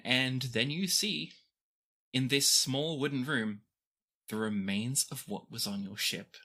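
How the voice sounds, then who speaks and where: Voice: mid-range voice, pushed and bright. A game master narrating a tabletop adventure in a descriptive, conversational way.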